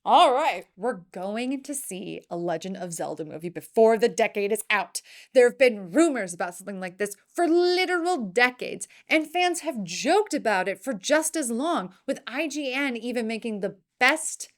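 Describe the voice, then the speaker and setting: A woman's voice: a little high, lively intonation, moderate pace. A woman hosting a fantasy movie news show, reading scripted items in a chatty, playful fan style with casual opinions. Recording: music bed behind the voice. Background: background music.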